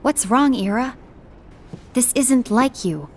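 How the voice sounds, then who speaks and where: A woman playing a composed, dutiful young mediator in a video game cutscene, earnest, polite and measured. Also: on the high side, expressive, a little hiss or hum. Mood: composed, concerned.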